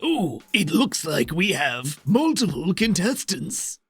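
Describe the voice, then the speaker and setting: A man voicing a malfunctioning animatronic robot card dealer with slow, theatrical showman's patter. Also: high voice, very animated, slightly rough, dry recording, soft background music, pitched above the performer's own voice, exaggerated voice. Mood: welcoming.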